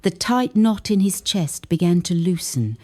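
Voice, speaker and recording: Casting: female children's storybook narrator, gentle and expressive, warm dark tone, slow pace, very animated melody. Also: fairly low pitch. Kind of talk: scripted read-aloud children's story narration.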